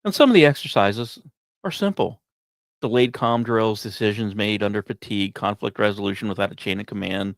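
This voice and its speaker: A man hosting a podcast, reading scripted space and science narration with occasional asides, in dramatic storytelling phrases broken by short pauses. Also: lowish voice, creaky, no echo. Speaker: podcast host.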